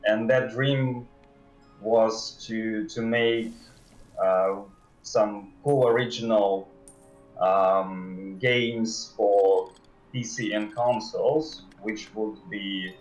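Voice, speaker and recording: Low-voiced man, halting, in an echoey room. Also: fairly even intonation, soft background music. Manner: halting, hesitant phrasing with drawn pauses. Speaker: game developer interview guest. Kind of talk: casual livestream interview. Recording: dull, roomy sound.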